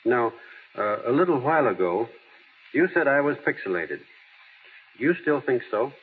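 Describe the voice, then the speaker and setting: A man's voice: mid-range voice, sing-song, moderate pace, natural voice. An actor playing an earnest, plainspoken small-town American man in old radio drama style, folksy and a little hesitant. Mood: gently coaxing. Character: earnest small-town everyman, plainspoken, gently wry, heir to a fortune. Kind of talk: 1930s-40s American radio drama acting. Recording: tinny, hissy old radio broadcast.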